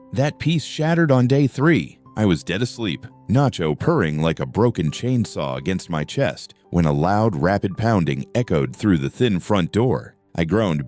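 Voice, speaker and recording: Deep guy narrating a story, really lively delivery, soft music in the back. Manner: expressive, wry storytelling. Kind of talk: first-person audio story narration. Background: faint music.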